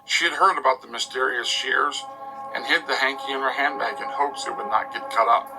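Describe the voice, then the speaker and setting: Male narrator, mid-range voice, scripted radio drama, measured dramatic storytelling, heavy music underneath. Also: mid-range voice, gravelly, poor audio. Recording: loud music behind narration.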